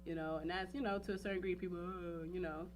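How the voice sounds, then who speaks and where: A woman's voice: fairly low pitch, steady tone, quick pace, noisy background. A woman in casual, candid chat as a talk show guest, speaking emphatically and rambling with frequent check-in phrases. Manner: emphatic, rambling, repeats "you know what I'm saying". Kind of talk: casual candid chat about personal life.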